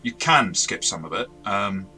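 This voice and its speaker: Low bright-toned man, chatty game master. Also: expressive, a little slow, soft background music. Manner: chatty, stammers on restarts, laughs easily. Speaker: podcast co-host, game master. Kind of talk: casual review chat.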